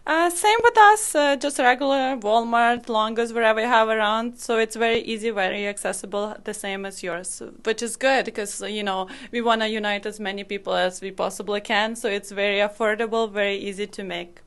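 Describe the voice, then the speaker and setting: A woman's voice: medium pitch, fairly quick, few pauses. A young woman from Ukraine whose first language is Ukrainian, co-hosting a casual podcast in an upbeat way with small grammar slips. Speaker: podcast co-host.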